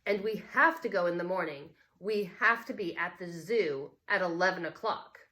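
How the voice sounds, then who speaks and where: A woman's voice: on the low side, normal speed, sharp tone. A woman voicing a stubborn, indignant schoolboy in a storybook, complaining insistently. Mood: insistent accusing.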